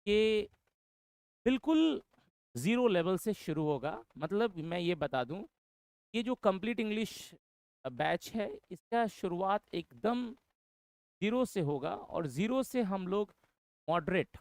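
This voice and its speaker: A man's voice: sits high, sing-song, takes it slowly, long pauses, a little mellow, no room sound. A man introducing an English course to exam students, repeating his points and often calling them brother. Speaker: English teacher.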